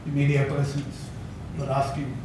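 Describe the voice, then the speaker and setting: An older man giving a conference talk, hesitant with many fillers and soft chuckles. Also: mid-range voice, monotone, normal speed. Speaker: academic speaker. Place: lecture hall. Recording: echoey hall, distant mic, noisy.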